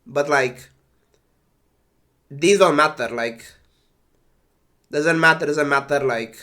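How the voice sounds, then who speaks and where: A man who is sick and congested, sighing and talking slowly while casually reading game patch notes on a stream. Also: on the high side, bright, forceful tone. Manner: slow, sighing, trailing off. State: congested with flu.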